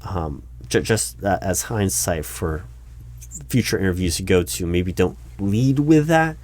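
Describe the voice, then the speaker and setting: A man with a fairly low voice plays an awkward recruiting manager in an ASMR-style interview roleplay. He talks at full voice rather than whispering. His delivery is hesitant and stammering, politely skeptical as he questions a candidate. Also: animated, measured pace, darkish tone. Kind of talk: one-sided interview roleplay, ASMR spoken out loud. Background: computer keyboard typing.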